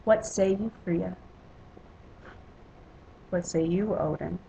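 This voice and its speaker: A woman's voice: fairly low pitch, takes it slowly, gravelly, dark tone, slight background noise, rough recording. A woman voicing a smug, insinuating male trickster god in a mythic story. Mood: goading.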